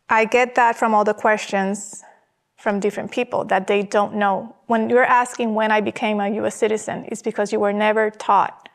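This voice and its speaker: A woman from Puerto Rico, a podcast guest, speaking in a firm, emphatic way on a studio set. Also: medium pitch. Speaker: podcast guest, gallerist and artist. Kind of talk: earnest conversation.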